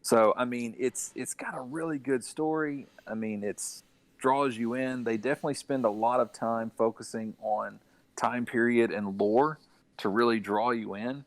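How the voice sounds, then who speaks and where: A man's voice: medium pitch, moderate pace, no room sound. A man in a casual podcast discussion about video games, talking in an explanatory manner. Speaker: podcast panelist.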